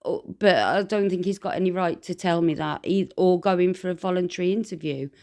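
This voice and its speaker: A woman's voice: on the low side, a little fast, dark tone. A British woman on a phone call giving a conversational account of a grievance, hesitant yet earnest and insistent. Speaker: complainant on a call.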